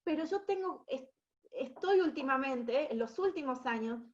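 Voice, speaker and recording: A woman's voice: high voice, lively intonation, normal speed, lo-fi sound. A woman in her thirties from Argentina with an Argentine accent, giving an explanatory talk as an education specialist, often checking in with her listeners and restarting her phrases. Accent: Argentine Spanish. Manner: explanatory, frequent tag questions and restarts. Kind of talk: presentation talk.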